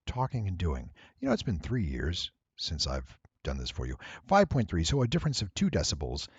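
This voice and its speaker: A man giving a casual, rambling software walkthrough, thinking aloud and playfully addressing his viewers. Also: low voice, huge pitch swings, brisk, husky, dry recording, highs cut off. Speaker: tutorial presenter and voice actor.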